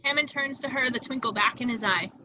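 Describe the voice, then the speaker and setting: Female screenplay narrator. Bright, slightly high voice, descriptive reading, somewhat muffled home recording. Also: fairly quick, slight background noise. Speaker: stage directions narrator.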